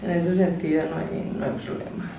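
A woman's voice: sits low, steady tone, a little fast, recorded from a distance. A woman, chatting casually during a massage session. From Colombia. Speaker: massage client. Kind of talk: casual chat. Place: small room with a massage table, indoors. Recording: echoey room, off-mic, audible noise.

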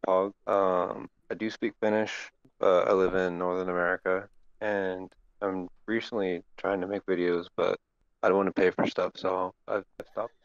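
A man's voice: deep voice, level tone, moderate pace, smooth, no room sound. A man chatting casually and hesitantly as a newcomer on a podcast, through a muffled mic. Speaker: new podcast crew member. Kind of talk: casual chat. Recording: dull, muffled voice-chat mic.

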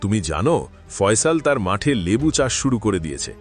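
A man's voice: lowish voice, a little fast, soft background music. A man voicing a jealous scheming village farmer. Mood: worried. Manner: lively, scheming, grumbling. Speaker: lemon farmer Palash. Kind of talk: cartoon voice acting.

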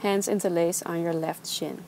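A young woman teaching a gentle yoga class, giving slow, soothing instructions. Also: lowish voice, a little hiss or hum. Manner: calm, slow, soothing cues. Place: outdoors on a beach.

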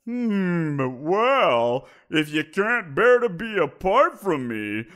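A man performs a greedy giant creature who toys with his captive, his voice climbing and swooping with mocking amusement. He lingers over his words, slow and deliberate, smug in his power. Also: high voice, very animated, fairly bright tone, exaggerated voice. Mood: teasing. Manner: playful, teasing, drawn-out. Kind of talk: audio roleplay.

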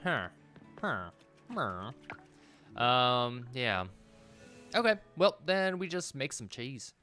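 A man's voice: mid-range voice, sing-song, drawn-out words, bright, forceful tone, faint music behind. A man in his thirties doing casual gameplay commentary, hesitantly thinking aloud and repeating himself as he mutters. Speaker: game streamer. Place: indoor room, streaming at a computer.

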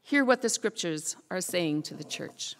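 A woman's voice: fairly low pitch, animated, a little hiss or hum. An older woman reading scripture formally, phrase by phrase, at a church lectern. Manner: measured, phrase-by-phrase delivery. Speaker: scripture reader. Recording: echoey church hall, long reverb.

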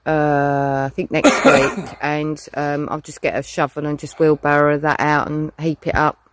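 A woman with a loud, shouty voice chatting casually to a handheld camera outdoors on rural land. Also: low-pitched, flat delivery, unhurried, slight background noise. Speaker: vlogger.

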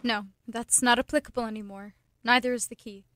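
A young woman acting in a radio play as a headstrong, quick-witted girlfriend with a pointed way of talking. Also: on the high side, fairly even intonation, background noise, close to an everyday voice. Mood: awkward, wistful. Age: young adult. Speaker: student actor.

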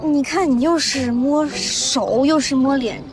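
A young actress playing a playful, stubborn young food lover in a TV romance drama. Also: female voice, high-pitched, fairly even intonation, a little slow, gravelly, over music. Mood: teasing. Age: young adult.

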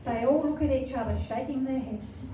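A woman's voice: high-pitched, a little fast, warm tone. A woman reading scripted narration for an amateur pantomime. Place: care home common room, indoors. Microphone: far camera. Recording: echoey room, distant camera, background noise.

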